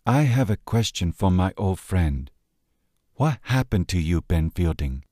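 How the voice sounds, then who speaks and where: A man voicing a calm, formal Chinese man who speaks carefully without contractions. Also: deep voice, a little slow, dry recording, clean studio sound, full character voice. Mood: gentle.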